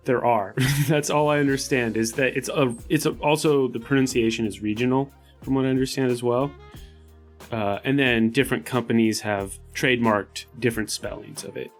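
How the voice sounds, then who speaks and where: A man with an average-pitched voice talks as a player in a tabletop role-playing game. His delivery is casual and improvised. Soft music sits behind his speech. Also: steady tone, moderate pace. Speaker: player on a tabletop RPG podcast.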